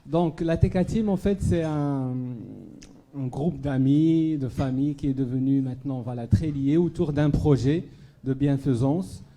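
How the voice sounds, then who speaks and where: A man's voice: a little high, unhurried, dark tone. A man raised in Morocco giving a charity speech to a dinner audience, hesitant, full of fillers and restarts. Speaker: charity speaker at a fundraising gala. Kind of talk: public speech to a live audience. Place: banquet hall at a gala dinner.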